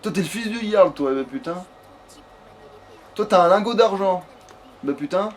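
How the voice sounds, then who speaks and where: A man's voice: high-pitched, expressive, normal speed, a little hiss or hum. A man giving casual, rambling gameplay commentary as a let's play host, swearing freely and talking crudely.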